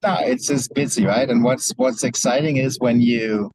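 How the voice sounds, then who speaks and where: A man's voice: medium pitch, steady tone, moderate pace, a little mellow, no room sound, rough recording. Man on a call from his car, upbeat, dry sound, repeats words. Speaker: team leader. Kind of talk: casual remarks on a call. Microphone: video call.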